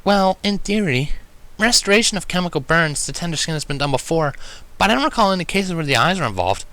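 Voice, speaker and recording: Casting: man as brainy cartoon unicorn mare, lifted pitch, precise, earnest, explanatory. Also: big pitch swings, fast, bright, forceful tone. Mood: explaining. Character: brilliant, studious young female unicorn, careful and earnest.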